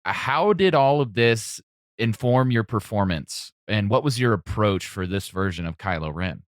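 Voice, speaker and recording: Earnest low-voiced male co-host, dry sound. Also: lively intonation, unhurried, no room sound. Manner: earnest, appreciative. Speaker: podcast co-host. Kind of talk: casual interview talk.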